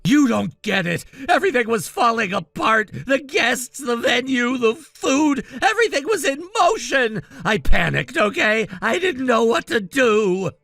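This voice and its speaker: A woman voicing an angry, controlling groom who shouts and accuses. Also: on the high side, sing-song, a little slow, creaky, few pauses, no echo, heavily acted. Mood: defensive.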